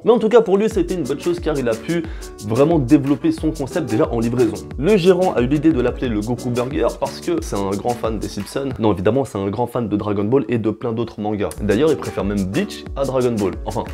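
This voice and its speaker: A man's voice: mid-range voice, expressive, fairly quick, mellow. A man doing a casual vlog voice-over, with slangy, joking asides. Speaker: vlogger host. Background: music.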